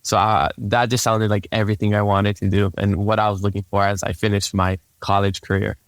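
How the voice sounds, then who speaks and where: A young man from Hawaii, a native Hawaiian speaker, talks through his story in a low, fairly level voice. His tone is smooth and clear, and the recording is dry and close. He sounds laid-back and often repeats a word as he starts a sentence. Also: flat delivery, a little fast, no echo. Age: young adult. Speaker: podcast guest. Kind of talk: casual interview storytelling.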